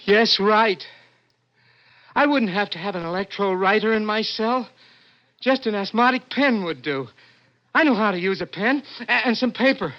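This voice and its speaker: An actor with an American accent playing a condemned poet, earnest and haunted, in 1960s radio drama style. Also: male voice, high-pitched, animated, normal speed. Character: desperate condemned poet clerk, gentle dreamer. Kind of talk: 1960s radio drama acting with first-person narration. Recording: dull old radio recording with music.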